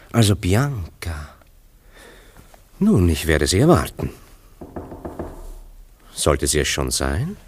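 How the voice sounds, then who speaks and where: An actor playing a worldly, amused bachelor friend in a German radio drama, wry and questioning. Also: male voice, very deep voice, full character voice. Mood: musing, leisurely.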